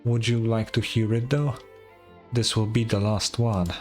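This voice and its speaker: A solemn god-king acted by a man speaks slowly and formally. He is weary yet resolute, in the regal style of fantasy game drama, over faint music. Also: on the low side, steady tone, natural voice. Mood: calm resolve.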